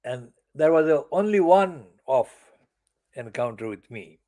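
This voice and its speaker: An older man speaks in a slightly raised pitch that swings widely, full of angry contempt. He talks slowly and deliberately, leaning hard on single words. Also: expressive, warm tone. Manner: angry, profane, emphatic; slow, deliberate phrasing with stressed words. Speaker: channel editor giving commentary. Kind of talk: personal political monologue.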